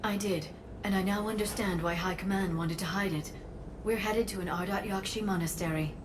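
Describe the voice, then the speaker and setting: An earnest, mid-pitched alien woman voiced by an actress gives grave, precise game exposition. Clear ambient noise surrounds her. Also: fairly even intonation, brisk, lightly acted. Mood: grave, explaining. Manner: precise, explanatory. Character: earnest female alien scholar, informed and grave. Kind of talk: video game dramatic exposition.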